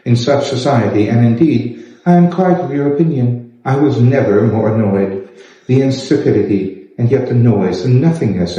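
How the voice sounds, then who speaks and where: Guy doing a snooty teasing society lady from an old novel, soft tone, lots of echo. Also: medium pitch, natural voice. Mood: haughty disdain. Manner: haughty, arch, formal. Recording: echoey room, slightly dull sound.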